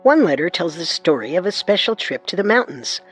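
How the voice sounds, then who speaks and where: A woman co-hosting a historical radio drama, giving measured, scripted documentary narration. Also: lowish voice, big pitch swings, husky, soft background music. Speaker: narrator and co-host.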